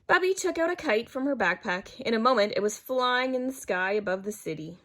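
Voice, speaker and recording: A woman reading a children's picture book aloud in an expressive, animated way, as a storyteller. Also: a little high, a little fast. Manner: animated, acts out character dialogue. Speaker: storybook reader.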